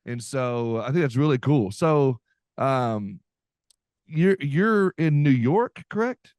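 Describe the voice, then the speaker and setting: A man's voice: medium pitch, lively intonation, takes it slowly, darkish tone, smooth, no room sound. Upbeat folksy man, dry close voice. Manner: upbeat, folksy, many fillers. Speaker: podcast host. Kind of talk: casual podcast chat with ad reads.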